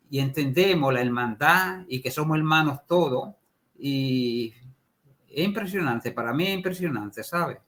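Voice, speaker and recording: A man's voice: on the high side, fairly bright tone. A man from Puerto Rico with a Puerto Rican accent, hosting a conversational interview, enthusiastic and affirming. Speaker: host.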